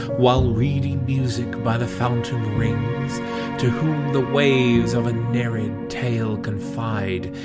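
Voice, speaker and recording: A man reciting poetry in a theatrical, deliberate manner. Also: mid-range voice. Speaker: poetry podcast reader. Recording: music playing behind the voice.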